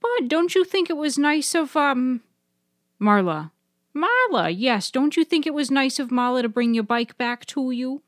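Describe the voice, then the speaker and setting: A woman's voice: high-pitched, big pitch swings, a little slow, dry recording, clean studio sound, voice pushed up. A woman voicing an insistent, prompting grandmother in an audio story, in a sing-song manner. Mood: prodding, polite.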